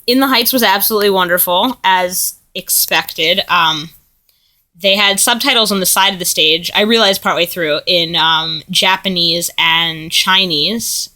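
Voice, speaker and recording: A woman's voice: medium pitch, pushed and bright. A young American woman teaching abroad, chatting in a casual video letter to a friend, rambling with lots of ums and likes. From United States.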